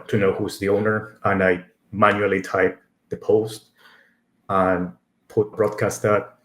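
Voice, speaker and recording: Roomy echoey audio, guy from argentina w spanish as first language, lowish voice, chatty tech talk, repeats himself a bit. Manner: conversational, halting, repeats words. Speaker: conference speaker.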